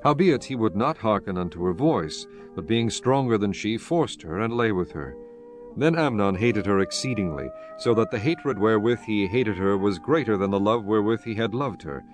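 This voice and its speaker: A man with a lowish voice narrates scripture. His delivery is formal and solemn, like a storyteller, with music underneath. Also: expressive, normal speed. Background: background music.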